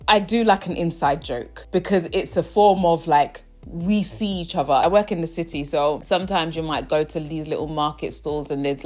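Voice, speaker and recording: Low-voiced chatty woman vlogger. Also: a little mellow. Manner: chatty, often checks in with the viewer. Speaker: vlogger host. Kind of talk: casual personal vlog monologue.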